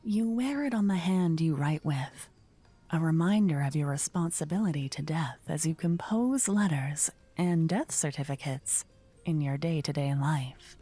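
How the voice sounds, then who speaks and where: A woman narrating a scripted fantasy ASMR story in a soft, whispery voice. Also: low-pitched, a little slow, slightly rough, soft background music.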